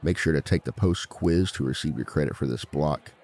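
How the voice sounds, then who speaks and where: Suave reformed villain as seminar speaker, played by a man: extremely low, gravelly, measured and wry, audio drama. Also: darkish tone. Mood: playful, wry. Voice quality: very deep, gravelly. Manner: measured, smooth-talking, wry, formal. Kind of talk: scripted seminar lecture roleplay, audio drama.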